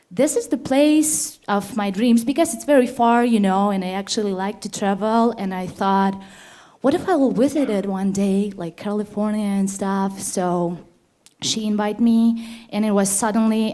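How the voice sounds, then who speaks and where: A woman's voice: mid-range voice, mellow. A young woman from Ukraine, an English speaker, chatting casually about herself as a panel speaker and searching for words. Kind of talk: casual personal introduction.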